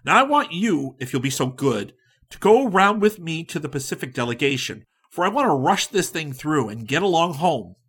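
A man's voice: a little high, husky, voice pushed up, heavily acted. A man playing an eager pushy man in a rush. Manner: excited, rushed, loud.

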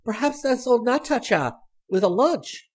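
A man's voice: sits high, very animated, normal speed, slightly rough, voice pushed up, exaggerated voice. A man voicing an eager, bossy teenage girl in a storybook, with bold, impatient, exclamatory delivery. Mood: excited.

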